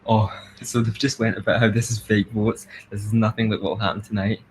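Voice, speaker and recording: Young scottish guy from near glasgow streaming, low voice, giggly and hyped, quiet hum behind. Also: brisk, low-quality recording. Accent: Scottish, Glasgow area. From Scotland. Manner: excitable, chatty, laughs often. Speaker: live streamer. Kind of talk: casual live-stream commentary.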